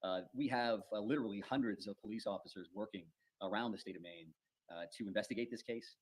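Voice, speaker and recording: A man speaking as a public safety official, giving a formal press briefing in measured officialese. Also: fairly low pitch, rough recording.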